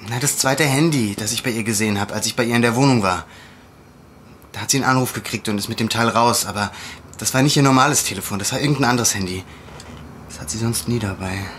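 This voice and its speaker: A man's voice: medium pitch, fast talker, fairly bright tone, slight background noise, close to an everyday voice. An actor playing a troubled, determined young man with a brooding, insistent way of talking, in an audio drama. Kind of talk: naturalistic audio drama acting.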